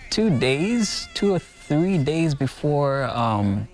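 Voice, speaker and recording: A man's voice: a little high, very animated, extremely slow, faint music behind. A man in his twenties from Zambia, a musician guest on a morning TV show, answering casually with lots of "like" fillers and restarts. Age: 20s. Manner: soft-spoken, frequent "like" fillers and restarts. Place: TV studio.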